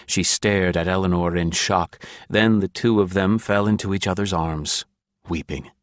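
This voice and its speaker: A man's voice: low-pitched, steady tone, normal speed, dry recording. A man narrating a fantasy audiobook in a scripted storytelling style.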